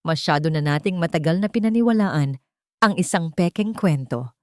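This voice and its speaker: A woman playing a resolute, protective male corporate heir in an audio drama, speaking in a calm, firm, weighty way. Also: lowish voice, normal speed, no room sound, studio quality, natural voice.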